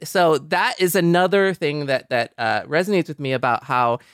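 A man with a smooth, clear voice a little higher than most, recorded close and dry. He chats casually as a podcast host, wordy and enthusiastic. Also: moderate pace, fairly bright tone, no room sound. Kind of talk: casual chat.

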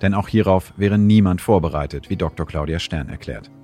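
A man's voice: deep voice, a little fast, warm tone, breathy edge, soft background music. A man reading scripted documentary narration for a podcast in a serious, polished way.

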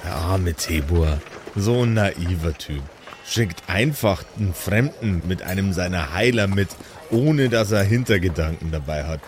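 A man's voice: low-pitched, expressive, unhurried, noisy background. A man voicing a smug, shady male cleric who talks in casual, insinuating slang. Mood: mocking.

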